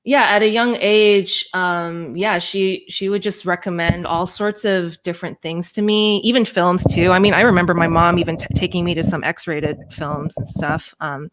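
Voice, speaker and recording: A woman's voice: fairly low pitch, fairly even intonation, moderate pace, hardly any pauses, poor audio. Hesitant woman in casual interview. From Hong Kong, born in the US. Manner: hesitant, self-effacing, thinking aloud. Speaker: guest puppeteer and storyteller.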